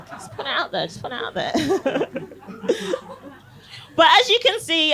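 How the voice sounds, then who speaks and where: A woman's voice: on the high side, background noise. A young woman from Barbados performing a cheeky stand-up set in a comedy club, teasing the crowd and laughing through her lines. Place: comedy club, live audience.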